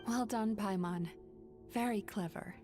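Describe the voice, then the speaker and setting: A woman playing a calm, gentle mystical dream healer in a video game, speaking in a composed, measured way. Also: mid-range voice, over music, close to an everyday voice. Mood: calm, solemn.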